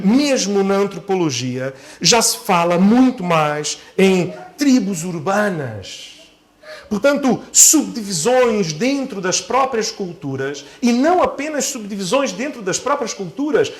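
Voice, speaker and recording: A man preaching to a church congregation as a pastor, phrasing his points deliberately and repeating key phrases for emphasis. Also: sits high.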